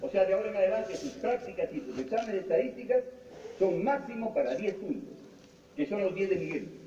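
A man's voice: high-pitched, animated, fairly quick, background noise. A man playing a strict, boastful statistics teacher lecturing a class. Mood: stern. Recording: muffled, echoey room from far off.

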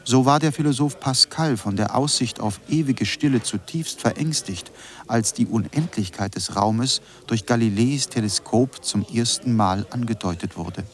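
A man playing a reflective narrator in a scripted radio drama, speaking in measured phrases. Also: mid-range voice, natural voice. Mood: sober, reflective. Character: reflective male narrator reciting essays and studio notes.

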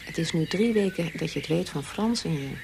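Firm, caring woman, lowish voice. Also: expressive, brisk, gravelly, background noise. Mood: firm, urging. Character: firm, caring woman, mother and blunt friend. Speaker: actress in a radio play. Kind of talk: Dutch radio drama acting.

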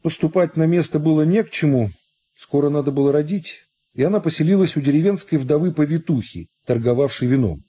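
A man narrating a literary story for a radio drama in a measured, phrase-by-phrase storytelling manner. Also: fairly high pitch, warm tone, husky. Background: music.

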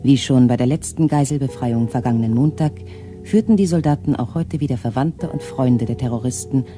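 A man's voice: medium pitch, a little fast, soft background music, lightly acted. A man playing a formal radio newsreader in a 1970s radio play. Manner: flat, formal reading. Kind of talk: news announcement in a radio play.

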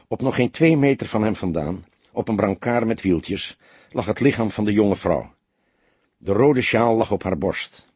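Very husky, low-voiced male storyteller. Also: darkish tone, no room sound. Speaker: audiobook narrator. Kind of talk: dramatic scripted crime story narration.

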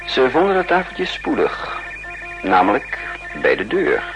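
A man's voice: mid-range voice, huge pitch swings, a little slow, highs cut off, over music. An actor playing a suave, wily male spy in a 1960s Dutch radio drama, nonchalant and theatrical. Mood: narrating, watchful. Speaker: actor and narrator. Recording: 1960s radio recording with faint hum.

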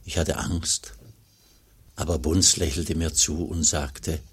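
Radio play narrator: a humble monk, extremely low husky voice, earnest and measured. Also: male voice, fairly even intonation, heavily acted. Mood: earnest, awed. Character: humble, devout monk recounting his journey.

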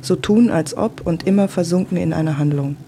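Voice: medium pitch, a little fast, background noise. A speaker delivering a reflective, literary monologue in clipped, thoughtful phrases.

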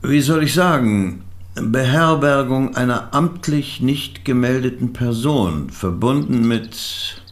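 An actor playing a polite, hesitant police detective in a radio play, halting and formal as he probes. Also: mid-range voice, animated. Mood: hesitant probing.

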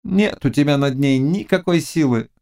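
Man putting on a shrill, taunting Cossack witch. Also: normal speed, dark tone, natural voice. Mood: goading. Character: mocking, scheming Cossack witch woman. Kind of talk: audiobook character dialogue.

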